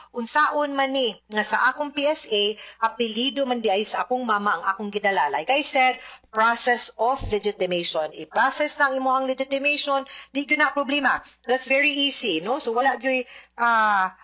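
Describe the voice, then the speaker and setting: Woman, Cebuano Filipino accent, high forceful bright voice, explanatory advice host, code-switching, checking tags. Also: lively intonation, moderate pace. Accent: Filipino, Cebuano Bisaya. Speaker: legal advice host answering listener questions. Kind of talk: spoken advice, reading and answering questions.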